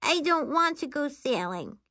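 High-pitched pouty little girl. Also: sing-song, measured pace, pushed and bright, no echo, studio quality, heavily acted. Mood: whiny, tearful. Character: little girl, pouty, whiny, shy, tearful. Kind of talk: audiobook character voice.

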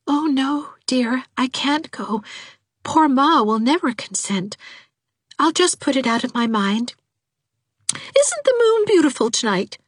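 A woman voicing a meek, gentle grown daughter in a storybook, pleading and soothing with resignation. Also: high voice, takes it slowly, no room sound, clean studio sound, pitched above the performer's own voice. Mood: wistful, resigned.

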